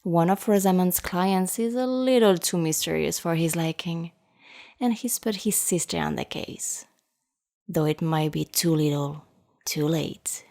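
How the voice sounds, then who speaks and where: A woman's voice: fairly low pitch, a little slow, mellow. A woman playing the sultry narrator of a noir radio drama serial. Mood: sultry, ominous. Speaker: narrator introducing an episode of a serial.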